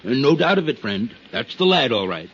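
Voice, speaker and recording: An actor playing a folksy, slippery used car dealer in a 1950s radio drama, with blustering homespun sales patter. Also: male voice, medium pitch, big pitch swings, moderate pace, natural voice. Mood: affable, evasive. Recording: dull 1950s radio recording, music.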